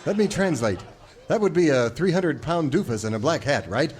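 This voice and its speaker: A man's voice: a little high, animated, moderate pace, a faint crowd, natural voice. Male actor as cowboy leader, polite, dryly understated, lilting range, radio comedy. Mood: wry. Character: wry, agreeable male singing cowboy leader. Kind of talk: parody of old melodramatic radio serial.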